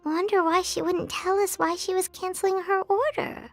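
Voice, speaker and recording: A woman voicing a small, curious, chatty fairy companion with a squeaky childish delivery in a video game. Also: fairly even intonation, normal speed, faint music behind. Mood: curious, puzzled. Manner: refers to self in third person, squeaky childish delivery.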